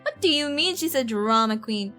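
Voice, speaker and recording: A woman's voice: high-pitched, animated, normal speed, bright, forceful tone, faint music behind. A woman from Ireland with a neutral, North American-sounding accent, chatting casually and slangily on a rambling, giggly game stream. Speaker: live streamer. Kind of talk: casual gaming stream chat.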